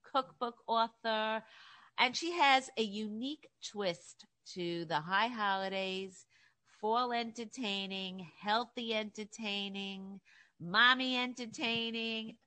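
A woman's voice: medium pitch, bright, forceful tone, clear, resonant tone. A woman hosting a celebrity interview show, gushing and chatty as she introduces and questions her guest. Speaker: host.

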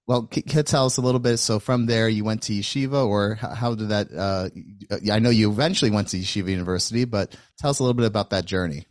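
A man with a fairly low voice hosts the interview, recorded with a dry, close sound. He circles around his questions, restarting and filling pauses as he goes. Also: steady tone, no echo. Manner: rambling questions with many fillers and restarts. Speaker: interview host. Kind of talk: hosting an interview.

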